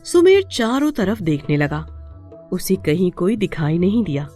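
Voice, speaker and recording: A woman's voice: fairly low pitch, big pitch swings, measured pace, mellow, faint music behind. A woman narrating a scripted moral story for children as the storyteller.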